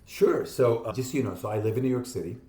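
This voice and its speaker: A man in his fifties from Israel, a podcast guest explaining his ideas in an animated, conversational way. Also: mid-range voice. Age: 50s. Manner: animated, explaining with quick asides and laughs. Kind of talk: casual interview talk. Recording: echoey room.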